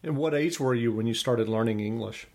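A man hosting a podcast, asking a guest questions in a smooth, polished way. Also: mid-range voice, a little fast, a little mellow. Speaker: podcast host. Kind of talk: podcast interview.

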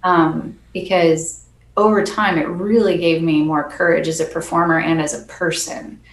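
Soft-spoken woman percussionist chatting, lowish voice, roomy echo. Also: steady tone, moderate pace. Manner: soft-spoken, earnest, laughs often. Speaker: percussionist guest. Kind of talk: casual interview chat.

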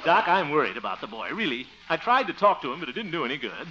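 A man's voice: fairly high pitch, very animated, fast talker, sharp tone. An actor playing an exasperated, sarcastic small-town man who grumbles and exclaims in 1950s radio comedy style. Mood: worried, sarcastic. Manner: grumbling, exclaiming, incredulous echoing. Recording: muffled vintage radio recording.

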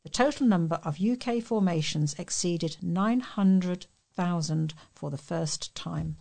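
Creaky low-voiced woman reading news. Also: a little slow, mellow. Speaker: volunteer news reader. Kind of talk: reading local news articles aloud.

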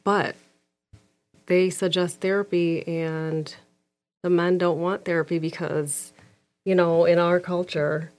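A woman's voice: on the low side, little pitch movement, slow, long pauses, a little mellow, soft background music. A woman in her thirties, from Egypt, a guest in a casual interview, speaking frankly and firmly with frequent ums.

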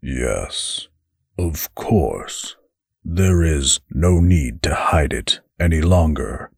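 A man voicing a stern, proud fallen angel in an audiobook, speaking gravely and slowly. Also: extremely low pitch, expressive, a little mellow, dry recording, studio quality, full character voice. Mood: solemn.

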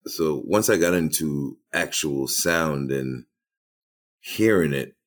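A man, speaking in an extremely deep voice. He answers as an interview guest, thoughtful and a little halting, restarting his sentences with repeated words. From Trinidad. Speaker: boom operator and filmmaker.

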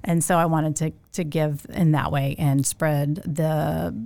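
A woman's voice: low voice, little pitch movement, measured pace, creaky, dark tone, no echo. A middle-aged woman from Louisiana, a shop-owner guest chatting casually on a podcast, with plenty of fillers.

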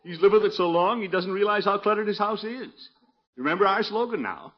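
An actor playing a pompous, jovial middle-aged uncle and town commissioner in a 1950s radio sitcom, blustery and pleased with himself. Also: male voice, high-pitched, expressive, fairly quick. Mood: eager, persuading. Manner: self-satisfied, chuckling, blustery. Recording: muffled 1950s radio recording.

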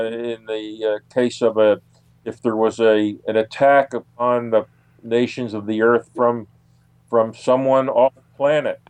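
An American man giving interview answers as an investigator and author, recounting at length in a halting, deliberate way with restarts. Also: mid-range voice, darkish tone, no room sound. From United States.

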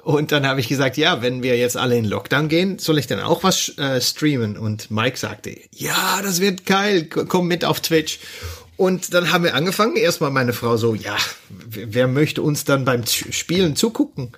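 A man's voice: mid-range voice, animated, normal speed, fairly bright tone, slightly rough. A Dutch man chats as a podcast guest in a language that is not his first. He sounds cheerful and laughs often. He sometimes stops to search for the right word. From Netherlands. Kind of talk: casual chat.

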